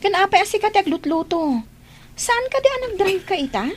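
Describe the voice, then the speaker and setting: A woman's voice: extremely high pitch, big pitch swings, brisk. A woman with a Filipino accent playing a meddling sister-in-law and auntie in a radio drama, pointed and full of questions. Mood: disapproving, nagging. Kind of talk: Ilocano radio drama acting. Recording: background noise.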